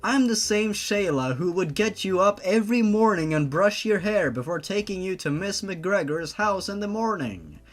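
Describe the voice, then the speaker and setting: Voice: medium pitch, animated, normal speed, few pauses, faint music behind, pitched above the performer's own voice, close to an everyday voice. A narrator voicing a protective, emotional older sister with an earnest manner in a read-aloud story. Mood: pleading reassurance. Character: protective older sister, emotional teenage heroine of horror romance.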